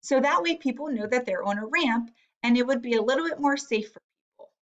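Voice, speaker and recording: A woman's voice: a little high, lively intonation, brisk, lo-fi sound. A woman teacher giving a read-aloud lesson to young children, thinking aloud and guiding them with questions. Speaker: elementary reading teacher.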